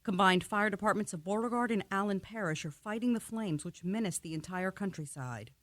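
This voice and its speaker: An earnest radio newswoman played by an actress delivers disaster bulletins in a radio drama. She is formal and composed, mid-pitched, in a dry, close recording. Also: dry recording, lightly acted. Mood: grave, composed. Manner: formal, measured announcing. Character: earnest, composed female radio news announcer covering a catastrophe. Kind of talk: radio play acting, news bulletin.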